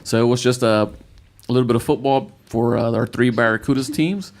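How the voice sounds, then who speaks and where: A man's voice: mid-range voice, brisk, a little mellow. A man hosting a casual sports podcast as a football coach, upbeat, with many "uh" fillers and repeated catchphrases. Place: indoor room with children present.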